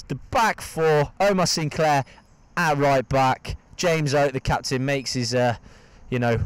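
A man's voice: mid-range voice, clean tone, no echo. A man giving enthusiastic football match commentary.

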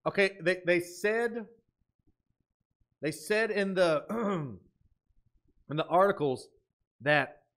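A man in his thirties giving off-the-cuff reaction commentary, thinking aloud in an excitable but musing way. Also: sits high, very slow, pauses often. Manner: excitable, exclaiming, dropping to musing asides. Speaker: host of a reaction video. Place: small indoor room at a desk.

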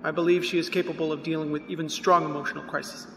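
A man's voice: on the high side, little pitch movement, fast, natural voice. A man playing an unemotional, literal-minded artificial crewman in an audio drama, speaking formally and precisely. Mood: matter-of-fact. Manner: formal, precise, matter-of-fact. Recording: echoey reverberant spaceship ambience noise.

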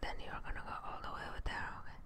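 A young adult woman gives ASMR commentary on a video game in a soft, unvoiced whisper. She mutters her thoughts to herself in short, breathy phrases. Her voice stays hushed and close to the microphone. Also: very fast. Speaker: gaming streamer.

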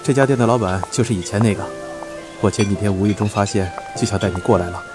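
A man's voice: fairly low pitch, fast, close to an everyday voice. A man playing a proud, prickly young office worker who is evasive about love, in an amateur audio drama. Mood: fond, nostalgic. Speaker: amateur voice actor. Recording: loud music behind the voice.

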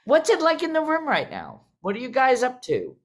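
A woman's voice: sits high, huge pitch swings, moderate pace. A middle-aged woman hosting a casual panel conversation, often interrupting her own sentences as she goes. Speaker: host, theatre artistic director. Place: indoors, video call. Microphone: video call microphone.

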